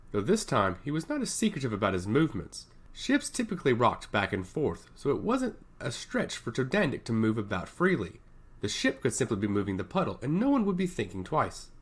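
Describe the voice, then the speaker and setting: A man narrating a fantasy story in an animated reading style. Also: medium pitch.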